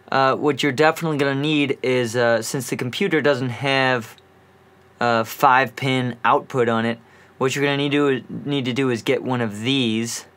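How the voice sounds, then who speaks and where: A man's voice: medium pitch, fairly bright tone. A man presenting an informal music gear tutorial, explaining in an offhand way as he thinks aloud.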